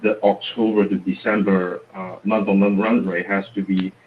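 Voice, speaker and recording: A man's voice: low-pitched, level tone, normal speed. A man who is a native Chinese speaker, asking questions as an analyst on a conference call. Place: remote phone conference. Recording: dull conference-call line with faint noise.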